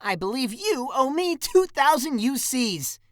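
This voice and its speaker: A woman's voice: high-pitched, very animated, measured pace, no room sound, clean studio sound. A woman playing a cocky, upbeat space gunslinger in a sci-fi comic dub, breezy in manner. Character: cocky, upbeat alien gunslinger crew member. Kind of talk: comic dub character acting.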